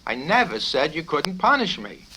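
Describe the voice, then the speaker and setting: A man's voice: fairly high pitch, big pitch swings, normal speed, pitched above the performer's own voice. A man reading a satirical novel, voicing an earnest, literal-minded young cadet who answers in an apologetic, deferential way. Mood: flustered, apologetic. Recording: noisy 1970s vinyl record with clicks.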